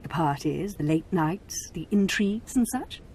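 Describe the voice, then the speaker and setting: A woman's voice: fairly low pitch, animated, moderate pace, a little mellow, slight background noise, low-quality recording. An actress playing a scheming, possessive noble lady in a video game cutscene, talking in a cold, condescending way.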